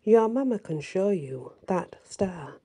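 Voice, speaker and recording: A woman reading a storybook letter aloud in a soft, husky voice, with a gentle, measured delivery. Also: medium pitch, animated. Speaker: channel host and story reader.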